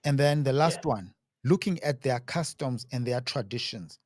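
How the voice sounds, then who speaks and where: A man's voice: mid-range voice, lively intonation, normal speed, a little mellow, no room sound, studio quality. A man whose first language is isiXhosa, hosting a conversational interview over a video call, eager and steering the talk, with frequent restarts. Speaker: host.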